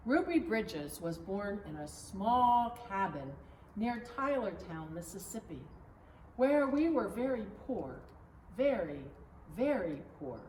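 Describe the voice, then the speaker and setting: A woman reads a children's storybook aloud as narrator, phrase by phrase in a deliberate way. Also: medium pitch, very animated, darkish tone. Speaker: pastor reading a storybook. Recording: echoey room, distant mic, faint noise.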